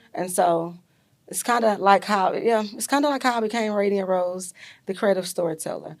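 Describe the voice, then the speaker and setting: A young adult woman with a raspy, deep voice, telling stories as a guest in a casual interview, with many fillers. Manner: animated storyteller, many fillers. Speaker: podcast guest, artist and educator.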